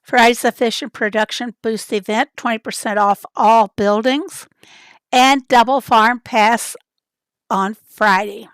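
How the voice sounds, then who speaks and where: A woman hosting a casual livestream, chatty and rambling as she greets viewers from the chat, her voice raspy and hoarse from a summer cold. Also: on the high side, fairly even intonation, unhurried, dry recording. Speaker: livestream host.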